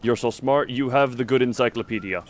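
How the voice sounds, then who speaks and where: A man's voice: mid-range voice, fairly quick, faint music behind. A man giving casual gameplay commentary to a live chat, rambling and thinking aloud as he plays. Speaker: video game streamer.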